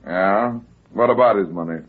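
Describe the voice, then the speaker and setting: A husky, low-pitched private eye, played by a male actor, blunt and commanding. He speaks in clipped radio drama style, through a dull, muffled vintage recording. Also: moderate pace, lightly acted. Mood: curt, probing. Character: tough, terse heavyset male private detective. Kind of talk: classic radio detective drama acting.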